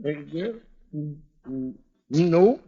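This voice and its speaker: An actor playing a flustered, folksy old trolley motorman testifying haltingly in a 1940s radio drama. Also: on the high side, expressive, mellow. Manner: halting, repeats himself, throat-clearing. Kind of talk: 1940s radio character acting. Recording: dull 1940s radio recording, faint noise.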